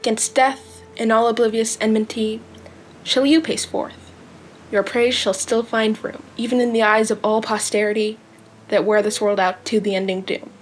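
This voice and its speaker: A devoted lover proclaims lasting praise in verse, performed by a young woman. The voice sits fairly high, with faint noise behind it. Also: little pitch movement, moderate pace, lightly acted. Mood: devoted, resolute. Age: young adult. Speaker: student drama performer. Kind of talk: dramatic monologue reading of verse.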